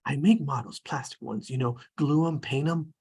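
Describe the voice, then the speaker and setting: An actor playing an earnest, thoughtful, preoccupied boy at a screenplay table read, hesitant and husky. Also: mid-range voice, moderate pace, a little mellow. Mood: explaining.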